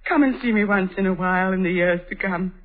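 A woman's voice: medium pitch, fast talker, close to an everyday voice. An actress playing a tormented middle-aged mother in a vintage radio drama, tearful and theatrical. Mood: anguished. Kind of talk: 1930s radio acting. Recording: tinny old radio broadcast.

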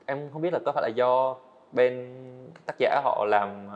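A man's voice: mid-range voice, unhurried, dull audio. A young man, a guest animator, earnestly explaining his work step by step in a casual interview on a studio set.

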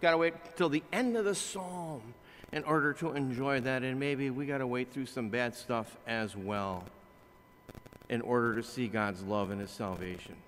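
An older man preaching a conversational sermon from a pulpit in a large chapel, swinging between loud, animated lines and hushed ones, picked up by a pulpit mic. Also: medium pitch, normal speed, a little hiss or hum. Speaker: pastor. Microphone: pulpit microphone, filmed by a far camera. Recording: long chapel reverb.

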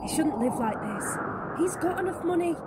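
An actress playing a chatty, caring young niece in a naturalistic audio drama, coaxing and conversational. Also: female voice, high voice, expressive, moderate pace, heavy background noise. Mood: friendly, guiding.

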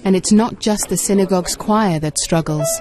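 A woman's voice: mid-range voice, normal speed, over music. A woman reading scripted narration for a television documentary.

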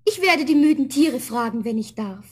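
Young heroine for a fairy-tale record: very high voice, sweet, earnest, well-mannered. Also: female voice, animated, normal speed, breathy edge. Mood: polite. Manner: polite, tender, earnest. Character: sweet, dutiful, polite young girl. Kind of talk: 1970s German fairy-tale radio play.

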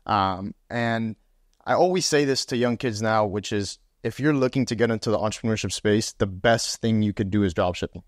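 A man's voice: on the low side, normal speed, dry recording, clean studio sound. A young man from Miami, a podcast guest, talking through his story in a casual interview with a lapel mic in a small room. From Miami, USA. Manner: animated, gestures, uses fillers like "um" and "right?". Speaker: podcast guest, sales executive. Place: small room with armchairs, indoors.

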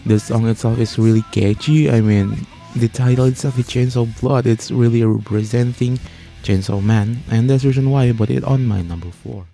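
A man hosting a countdown review as a voice-over, speaking haltingly and stretching key words. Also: medium pitch, gravelly. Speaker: host of an anime music ranking channel. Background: music playing under the voice.

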